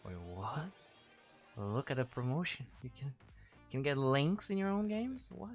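A young man from Brazil, chatting casually while streaming a game, thanking viewers and thinking out loud. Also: mid-range voice, very animated, a little slow. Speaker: streamer and caster. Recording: faint music behind, weak mic.

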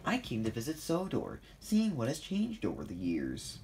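A storyteller voicing a gentle female guardian engine in a soothing, feminine, softly playful way. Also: medium pitch, wildly swooping pitch, normal speed, slight background noise. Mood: soothing, friendly.

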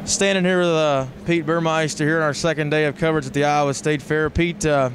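American man, fairly high voice, flat delivery, measured live show commentary, matter-of-fact host. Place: large indoor show arena. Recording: noisy livestock arena ambience.

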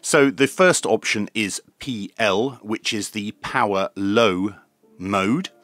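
A man with a fairly low voice presents a hands-on product demonstration. He explains each step methodically in an informal reviewer's style. The sound is dry, with very little room echo, as if recorded in a small room at a desk. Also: takes it slowly, fairly bright tone, soft background music. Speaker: radio reviewer, channel host.

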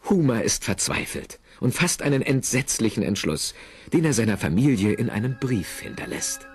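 A man narrating a comedy audio drama in an animated, wry way with rhetorical questions. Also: mid-range voice, normal speed, breathy edge, no echo. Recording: music under the voice. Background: music.

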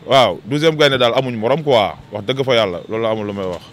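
Fast-talking frank man outdoors. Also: medium pitch, background noise. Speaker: football fan interviewed. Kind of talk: street interview. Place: city street outdoors. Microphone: handheld mic.

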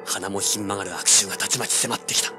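An actor playing a cocky, ruthless young treasure hunter in a radio drama, brash and hard-boiled. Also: male voice, lowish voice, steady tone, fast, loud music behind the voice, lightly acted. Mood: tense, urgent. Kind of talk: dramatic radio drama acting with first-person narration.

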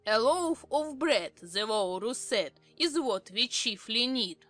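A woman's voice: a little high, animated, takes it slowly, a bit bright, soft background music. A woman playing a petulant storybook twin brother who recites verse theatrically. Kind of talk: dramatized audiobook acting.

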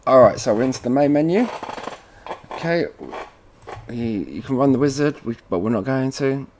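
Male tutorial presenter, medium pitch, informal installer type, relaxed wording, guiding viewers through on-screen setup steps. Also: normal speed, slight background noise. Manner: informal, addresses viewers as guys. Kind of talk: casual step-by-step software tutorial.

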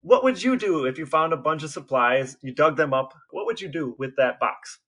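Bright-voiced American man telling a story. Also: fairly high pitch, expressive, moderate pace. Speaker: podcast co-host. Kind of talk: casual storytelling chat.

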